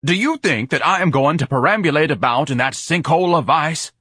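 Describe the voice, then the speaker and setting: A man's voice: on the high side, brisk, sharp tone, slightly rough, no echo, studio quality, pitched above the performer's own voice. A man voicing a pompous, self-pitying, overeducated fat man who speaks in grand, ornate phrases and is easily outraged, in an audiobook. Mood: pompous indignation.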